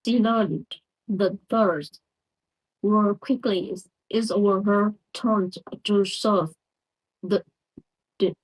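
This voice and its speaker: A woman's voice: mid-range voice, extremely slow, pauses often, slightly rough, rough recording. A woman with a Vietnamese accent, a Vietnamese native speaker, giving a hesitant pronunciation practice lesson in broken English with many restarts. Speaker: English learner vlogger.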